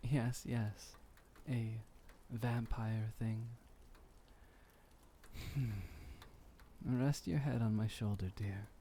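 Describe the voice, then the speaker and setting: A man playing a gentle, protective vampire boyfriend in an ASMR roleplay, speaking softly and soothingly in old-fashioned formal phrases. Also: mid-range voice, a little hiss or hum. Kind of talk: ASMR roleplay, intimate comforting monologue.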